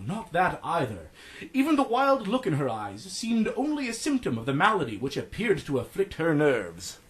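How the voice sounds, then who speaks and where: A man voicing a stuffy, pompous Edwardian gentleman adventurer narrating a pulp audiobook, formal and theatrical in manner. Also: a little high, slightly rough, heavily acted.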